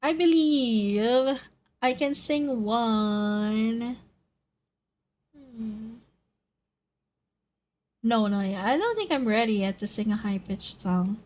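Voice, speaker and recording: A woman's voice: on the high side, takes it slowly, pauses often, darkish tone. A young woman chatting on a karaoke stream, giggly and unsure of herself between songs. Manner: chatty, giggly and self-doubting. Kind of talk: casual karaoke stream chat. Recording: added reverb with music behind.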